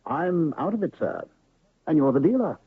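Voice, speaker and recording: An actor playing an honest, weary gambler who is a doctor, in an old radio drama, speaking calmly and drily with a gravelly voice. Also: male voice, fairly high pitch, moderate pace, no room sound, heavily acted. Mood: dry. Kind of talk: 1970s radio drama acting. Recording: tinny lo-fi old radio broadcast.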